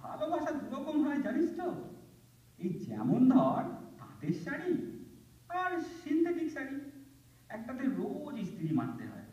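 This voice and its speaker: A man's voice: very high-pitched, wildly swooping pitch, moderate pace, long pauses, mellow, heavily acted. Guy playing bengali village husband in a radio play, swoopy exasperated sarcastic talk, muffled and far from the mic. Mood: sarcastic, teasing. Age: middle-aged. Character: teasing, gruff working-class village husband, middle-aged. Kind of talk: Bengali stage audio drama acting. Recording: muffled, echoey hall, distant microphone.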